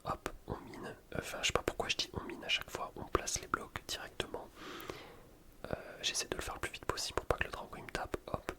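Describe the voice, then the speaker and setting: A man whispering casual, slangy ASMR commentary over gameplay, explaining things in a rambling way. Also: fast talker. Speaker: gaming ASMR video creator.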